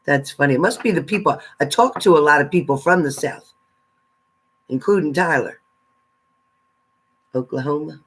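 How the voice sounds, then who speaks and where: A man with a somewhat high voice talks casually on a livestream. As a tarot reader, he greets and thanks viewers by name, gives friendly advice, and laughs often. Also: normal speed, pauses often, a bit bright, slightly rough. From New Jersey.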